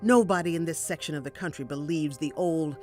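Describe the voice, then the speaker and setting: A woman's voice: sits low, fairly quick, soft background music, lightly acted. An actress playing a resolute young woman journalist of the 1890s, reading her writing firmly and with measure for a documentary. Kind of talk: dramatic reading of historical writing.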